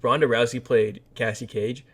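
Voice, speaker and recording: A man's voice: medium pitch, fairly even intonation, normal speed, breathy edge. A man co-hosting a podcast, chatting casually and giving opinions on films in a blunt, wry way. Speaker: podcast co-host. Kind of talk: casual, opinionated film chat.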